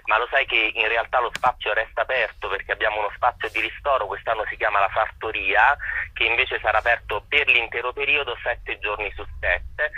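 A man's voice: on the low side, sharp tone, breathy edge. A man giving an informative interview as a guest over a dull telephone line. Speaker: interview guest, festival artistic consultant.

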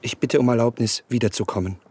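An actor playing an earnest young gentleman lost in longing, speaking formally and with measure in a literary radio play. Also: medium pitch, fairly even intonation, fast talker, breathy edge, close to an everyday voice. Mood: polite, formal. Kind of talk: literary radio play acting, inner monologue.

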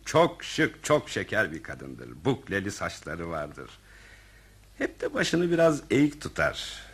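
Stuffy self-serving boss in a radio play, bit raspy, really animated delivery, dodgy and officious. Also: male voice, lowish voice, slow, heavily acted. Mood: smitten, wistful. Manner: evasive, officious, digressive. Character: pompous, callous, self-serving male office director. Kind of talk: radio theatre acting.

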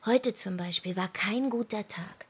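Defiant brooding daughter, radio drama, breathy and husky, intimate, mid-range pitch. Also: female voice, a little slow. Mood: reading, animated. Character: brooding, defiant daughter pining for her twin brother.

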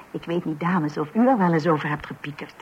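An actress playing an assertive, chatty wife in a radio play, with a husky voice. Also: female voice, low-pitched, a little fast, darkish tone. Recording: dull old radio recording.

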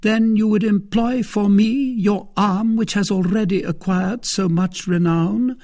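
A man voicing a proud, seductive and vindictive noblewoman in an audiobook of a classic novel, speaking in a deliberate, theatrical way. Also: sits high, fairly even intonation, dark tone, pitched above the performer's own voice. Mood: coy, testing.

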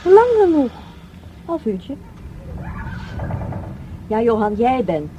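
Casting: chatty female train passenger, radio drama, high mellow voice, unhurried delivery, slight background noise. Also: sing-song, muffled recording. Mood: casual. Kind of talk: naturalistic radio drama dialogue. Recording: noisy recording with vehicle ambience.

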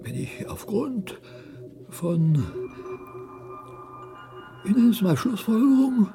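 A woman playing an innocent, bewildered newborn whale in a radio drama, curious and halting as it searches for words. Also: mid-range voice, mellow.

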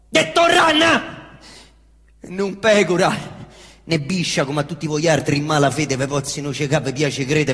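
A man acting a young Roman street hustler in a stage monologue, with a Roman accent, veering from muttering to shouting and cursing. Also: a little high, lightly acted. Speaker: stage actor. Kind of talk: theatrical monologue. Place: theatre stage. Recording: echoey theatre reverb.

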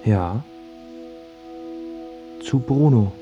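An actor playing a confused, dying man with failing memory, husky and stubbornly repetitive, in a German radio drama. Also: mid-range voice, animated, extremely slow, highs cut off, over music.

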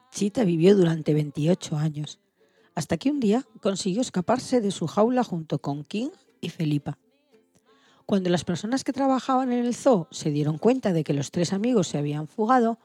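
Soft, husky woman telling children's stories. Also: medium pitch, dry recording. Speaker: co-host of an animal-stories programme for all ages. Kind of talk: scripted storytelling narration for children.

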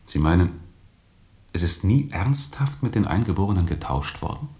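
A sober interrogator with a low voice. He questions tersely and exactingly, pressing for precise answers in a radio-play dialogue. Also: sing-song, normal speed, darkish tone. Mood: insistent probing. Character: sober, probing male questioner pressing a witness.

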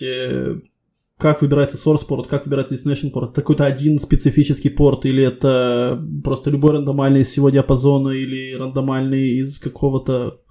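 Low-key man interviewer, slightly creaky voice. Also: mellow. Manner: probing with step-by-step follow-up questions. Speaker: technical job interviewer. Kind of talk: spontaneous technical questioning.

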